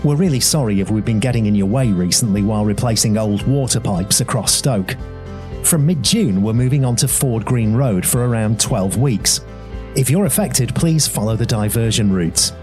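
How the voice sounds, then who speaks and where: A man's voice: on the low side, lively intonation, moderate pace, a little mellow, soft background music. Man giving an apologetic radio notice. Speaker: advert voice-over. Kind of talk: scripted radio advert.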